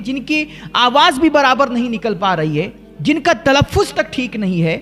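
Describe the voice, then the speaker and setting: A man from India preaches in an earnest, emphatic way, leaning on rhetorical questions and deliberate repetition. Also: very high voice, fairly bright tone. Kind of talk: rhetorical religious sermon.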